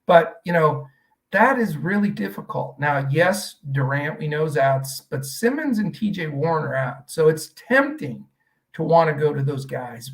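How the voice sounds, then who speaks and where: A man's voice: on the high side, animated, moderate pace, gravelly. A middle-aged man from Western Pennsylvania hosting a fantasy sports podcast, talking in a rambling, conversational way with lots of fillers and restarts. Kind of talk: casual podcast analysis.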